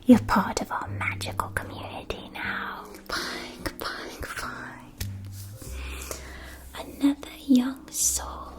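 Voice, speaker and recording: A kindly witch shopkeeper, played by a woman in an ASMR fantasy roleplay. She speaks in a soft whisper at a very unhurried pace, with a gentle, nurturing and mystical air. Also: sits high, steady tone, pitched above the performer's own voice.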